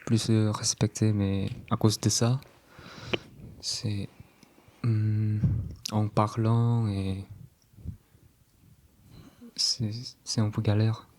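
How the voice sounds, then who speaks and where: Young man, korean native speaker, slow and hesitant, low flat voice, mellow tone. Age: 20s. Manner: hesitant, halting French with many fillers and repeats. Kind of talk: casual chat.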